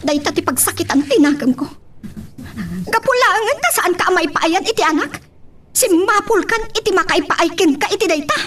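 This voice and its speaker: A woman plays a wronged wife in an Ilocano love drama, her voice set high. She speaks with an Ilocano accent, wounded and resolute, like someone determined to walk out on her marriage. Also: expressive, moderate pace, a bit bright. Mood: hurt, bitter. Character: hurt, betrayed wife determined to leave her husband. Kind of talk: Ilocano radio-style love drama acting.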